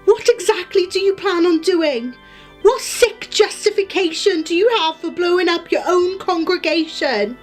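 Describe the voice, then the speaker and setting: An actress playing a feisty, indignant young woman in a radio play, sharp-tongued and exclamatory. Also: extremely high pitch, moderate pace, faint music behind. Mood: horrified, defiant.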